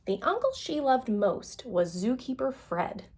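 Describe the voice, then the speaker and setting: A woman's voice: sits high, sing-song, a little slow, a little mellow. A woman reading a children's picture book aloud as a storyteller, expressively and with dramatic emphasis.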